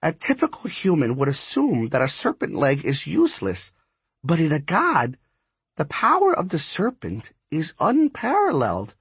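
A man's voice: on the high side, huge pitch swings, moderate pace, fairly bright tone, slightly rough, dry recording, voice pushed up, heavily acted. A man voicing a bossy, impatient and ancient female spirit who forges lightning, scolding and commanding in an audiobook story. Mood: insistent, instructive. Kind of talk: acted audiobook dialogue.

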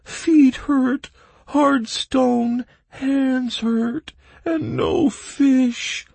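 A man voicing a small, plaintive bog creature with a shrill voice, wailing in broken, childlike phrases in a storybook reading. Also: fairly even intonation, drawn-out words, vocal fry, a little mellow, no echo, heavily acted. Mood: tearful complaining. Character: small plaintive male bog creature, fish thief, whiny and pitiful.

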